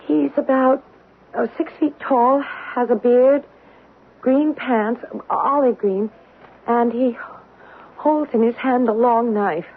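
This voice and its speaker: A woman's voice: fairly high pitch, slow pace, husky, heavily acted. An actress playing a nervous young housewife and mother in a 1970s radio drama, speaking with hesitant, stumbling words. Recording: tinny 1970s radio broadcast.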